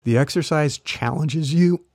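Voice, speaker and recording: A man who works as a career coach, talking through ideas in a relaxed, explanatory way with small laughs, his voice a little worn as he says he is losing it. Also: on the high side, a little slow, dry recording. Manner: conversational, explanatory, light laughs. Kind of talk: solo podcast talk.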